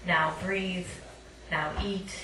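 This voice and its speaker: A woman's voice: fairly low pitch, steady tone, very slow. A woman reading her poetry live at a cafe, with informal, self-conscious asides. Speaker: poet, featured reader. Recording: echoey room, distant mic, clear noise.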